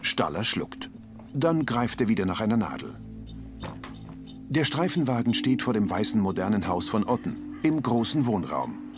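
A man reading scripted audio description for a TV series in a measured, matter-of-fact way. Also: fairly low pitch, big pitch swings. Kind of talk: scripted narration of a TV crime series. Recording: music behind the voice.

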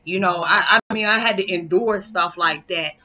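A woman's voice: on the low side, normal speed. A middle-aged woman sharing a candid, emphatic personal story in a vlog, talking to a webcam in a small living room. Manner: candid, emphatic, animated hand gestures. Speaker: vlogger. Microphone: webcam built-in mic. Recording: dull lo-fi webcam audio.

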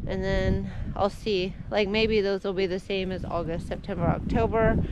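A woman's voice: medium pitch, steady tone, moderate pace, heavy background noise. A woman in her forties, a flower farmer, chatting casually and indecisively while she walks around her farm with a camera held at arm's length. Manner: chatty, indecisive, often laughs and says "like". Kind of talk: casual walking vlog. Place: outdoors on a rural farm in winter. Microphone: handheld wide-angle camera at arm's length.

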